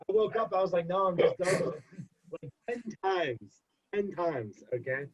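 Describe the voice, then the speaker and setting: A young man with a husky, congested voice tells a rambling story, repeating phrases for emphasis. The recording is dull and lo-fi. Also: high voice, lively intonation, a little slow. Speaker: podcast guest. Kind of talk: casual storytelling. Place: home. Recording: dull lo-fi podcast audio.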